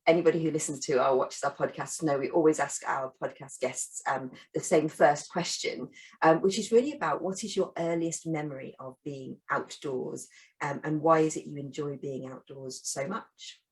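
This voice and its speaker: A woman's voice: sits low, normal speed, rough recording. A woman hosting a podcast, asking a guest questions in an encouraging way with many fillers. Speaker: podcast host. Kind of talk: interviewer questions.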